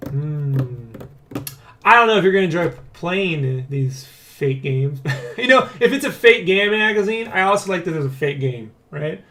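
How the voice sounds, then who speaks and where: Male vlog host, slightly high voice, rambling and excitable, talks straight to viewers, loose and sweary. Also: normal speed. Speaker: host of a development blog. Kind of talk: casual vlog chat, addressing viewers.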